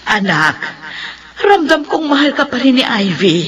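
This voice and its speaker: A woman's voice: high-pitched, sing-song, unhurried, fairly bright tone, heavily acted. An actress playing a tearful mother who pleads emotionally in a radio drama. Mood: tearful, pleading.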